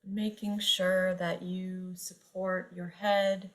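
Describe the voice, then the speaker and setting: Woman, meditation guide, lowish pitch, very slow pace, gentle delivery, long pauses. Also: flat delivery. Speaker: yoga nidra meditation guide.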